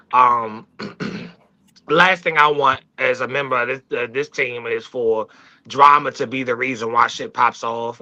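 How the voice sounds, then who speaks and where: Male talk show regular, very sharp bright voice, slightly dull lo-fi audio, casual discussion with thoughtful, halting delivery. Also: mid-range voice. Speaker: talk show team member.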